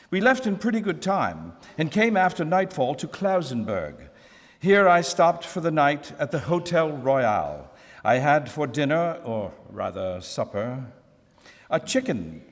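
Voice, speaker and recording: A man reading a classic novel aloud at a live museum event, in a measured, expressive first-person narration. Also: on the high side. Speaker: reader. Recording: long hall reverb.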